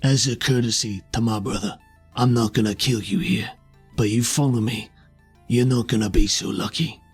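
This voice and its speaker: A man voicing a proud, bitter armoured villain in a tabletop game, taunting and threatening, with a slightly husky voice. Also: mid-range voice, moderate pace. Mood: cold threat. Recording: music behind the voice.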